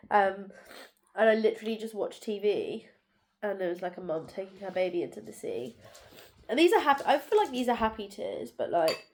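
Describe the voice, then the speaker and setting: Young woman, stuffy nose, sore throat, tired soft rambling, home vlog, faint background noise. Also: medium pitch. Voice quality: nasal, bunged up. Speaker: vlogger. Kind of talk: casual vlog.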